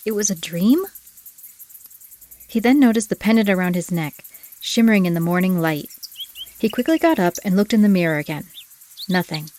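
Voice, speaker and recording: A woman's voice: medium pitch, a little mellow, a little hiss or hum. A woman reading scripted fantasy audiobook narration as the storyteller.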